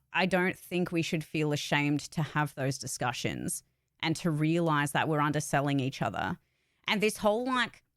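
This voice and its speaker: A woman with a fairly low voice talks in a casual, candid livestream style. She is blunt and emphatic and swears casually. The sound is dry and close, with very little room in it. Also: fairly bright tone, no echo. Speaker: voice actor and channel host.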